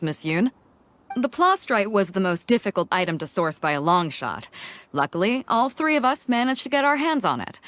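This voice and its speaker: An actress voicing a confident, upbeat young woman who runs a ship in a video game, brisk and friendly. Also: mid-range voice, fairly bright tone, no echo.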